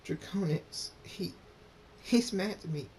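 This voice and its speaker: A man's voice: slow, darkish tone. A man voicing a tearful, pleading young daughter pony in a story, with a whining manner. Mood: upset, tearful. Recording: noisy with low rumble.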